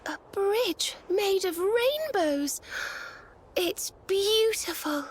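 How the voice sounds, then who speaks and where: An actress voicing a gentle, wistful young woman in a video game, with a soft, dreamy, hesitant manner. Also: extremely high pitch, expressive, drawn-out words, slight background noise, exaggerated voice. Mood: awed.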